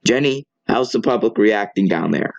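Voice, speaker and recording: A man's voice: on the low side, expressive, normal speed, vocal fry, dry recording, dull audio. A man playing a composed, earnest local news anchor reading a scripted broadcast. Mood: composed, serious.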